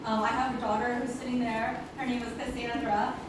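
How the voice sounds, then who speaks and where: A woman's voice: a little high, level tone, fairly quick. A middle-aged woman from Hong Kong, a lawyer giving an earnest public speech with many ums, mixing English and Cantonese. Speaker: deputy city attorney giving a speech. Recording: echoey room, voice from a distance, clear background noise.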